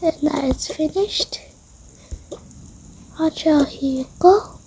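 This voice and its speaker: A high, mellow young female voice softly narrates a toy roleplay at an unhurried pace. She often slips toward a whisper, with faint noise in the home recording. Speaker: roleplay video creator. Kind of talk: improvised toy-game roleplay storytelling.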